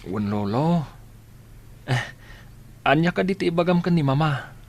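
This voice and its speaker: An actor with an Ilocano accent playing a friendly, self-assured young student and grandson in a radio drama. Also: male voice, a little high, animated, measured pace. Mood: respectful. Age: young adult.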